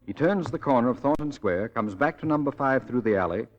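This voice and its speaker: Radio drama detective, acted by a man: mid-pitched, animated, decisive, sure, muffled old broadcast. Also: fairly quick, lightly acted. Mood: confident, brisk. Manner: decisive, explanatory. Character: calm, authoritative detective, brisk and sure. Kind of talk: 1940s radio drama acting.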